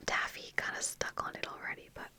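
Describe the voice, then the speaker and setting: A woman in her thirties whispering softly and breathily in a casual ASMR chat, unhurried and pausing, close to the microphone in a small room. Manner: soft, unhurried, pausing while doing makeup. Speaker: ASMR video creator. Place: small dim indoor room with bookshelves. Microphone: close fur-covered microphone on desk, monitored on earphones.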